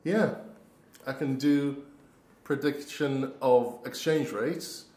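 A man's voice: medium pitch, takes it slowly, mellow. A man co-hosting a podcast in casual, joking banter, repeating his phrases as he goes. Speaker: podcast co-host. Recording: echoey room.

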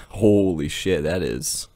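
A man's voice: sits low, drawn-out words, mellow, no echo, clean studio sound, soft background music. A man giving casual, joking gameplay commentary in a slangy, sweary way, as host of a gaming video.

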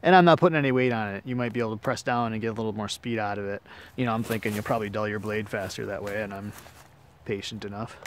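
A man's voice: lowish voice, quick pace, a little hiss or hum. A man giving a casual hands-on demonstration in a laid-back, plain-spoken, hesitant way, recorded outdoors on a handheld camera. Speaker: amateur product demonstrator. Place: outdoors in woodland.

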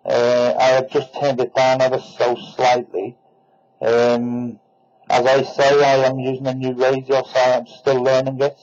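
A man's voice: mid-range voice, monotone, measured pace, darkish tone. Muffled Englishman chatting on radio. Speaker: amateur CB radio operator. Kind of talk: radio contact exchange.

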